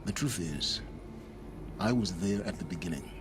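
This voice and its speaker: An actor playing a secretive, scheming male scholar in a video game, speaking gravely and cryptically. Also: low voice, lively intonation, normal speed, background noise.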